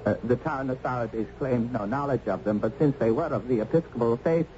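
An actor playing a proud, protective English gentleman in an old-time radio drama, with formal, florid, theatrical speech. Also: medium pitch, fairly quick, natural voice. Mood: courteous. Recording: muffled, faintly noisy old radio broadcast.

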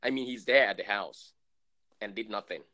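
A man in his late twenties, from Thailand, co-hosting a podcast in relaxed chat full of fillers and laughs. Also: fairly low pitch, moderate pace. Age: 20s. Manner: loose, laughing, lots of fillers. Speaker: podcast co-host. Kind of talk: casual chat.

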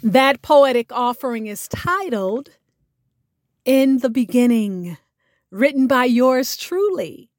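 A woman hosting a podcast, speaking in scripted, deliberate and theatrical phrases as she presents and recites. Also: on the high side, animated, dry recording, studio quality. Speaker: podcast host. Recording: music behind the voice. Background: music.

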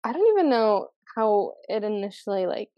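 A woman's voice: mid-range voice, expressive, moderate pace, no room sound, dull audio. A young woman co-hosting a podcast in casual chat. She is supportive, agrees often with short replies and laughs along. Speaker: podcast co-host.

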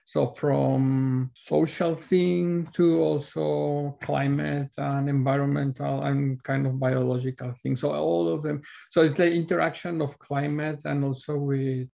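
Creaky-voiced Mexican man in an interview. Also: on the high side, flat delivery, a little slow, hardly any pauses, dark tone. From Mexico City, Mexico. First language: Spanish. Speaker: interview guest, PhD student. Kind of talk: casual interview answers.

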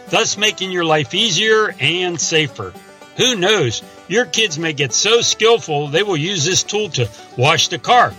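A man playing a zealous, mock-earnest pitchman in a scripted parody radio commercial for absurd handyman tools. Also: bright, forceful tone. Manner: salesy, upbeat, mock-earnest.

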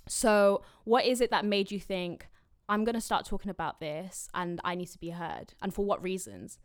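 A woman hosting a podcast in casual chat, chatty and quick to laugh. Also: mid-range voice, no echo, clean studio sound. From London. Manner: chatty, laughs easily, trails off mid-sentence. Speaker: podcast host.

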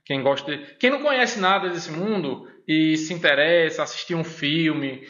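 A man's voice: on the high side, normal speed, bright, forceful tone. A man speaking with a northeastern Brazilian accent, a professor and podcast guest chatting casually, hesitant and full of fillers, often ending with a questioning "né?". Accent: Brazilian Portuguese, northeastern. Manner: hesitant, many fillers and repeated "né?". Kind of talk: casual interview chat. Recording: echoey room, dull lo-fi sound.

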